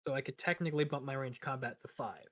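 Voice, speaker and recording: A man's voice: mid-range voice, fast talker, fairly bright tone, no echo. A man with a mid-range voice chats casually as a player at a tabletop roleplaying game. His voice is recorded very dry, with no room sound.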